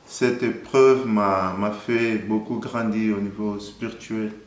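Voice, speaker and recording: A man in his thirties from Angola, a Portuguese speaker, calmly and earnestly sharing his faith story in an interview. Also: on the low side, takes it slowly, echoey room. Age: 30s. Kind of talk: personal faith testimony.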